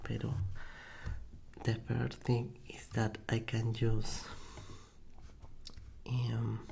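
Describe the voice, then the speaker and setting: A man whose first language is Spanish gives a soft-spoken, whispered ASMR lesson into a condenser mic, hesitating often with fillers. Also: mid-range voice, completely flat delivery, extremely slow, vocal fry, noisy background. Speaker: ASMR creator teaching Spanish. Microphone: Blue Yeti condenser mic.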